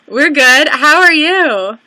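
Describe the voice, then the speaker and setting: Giggly bright-voiced woman livestreaming. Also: high-pitched, dry recording. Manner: giggly, apologetic, nervous laughter. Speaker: livestream host. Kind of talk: casual livestream chat.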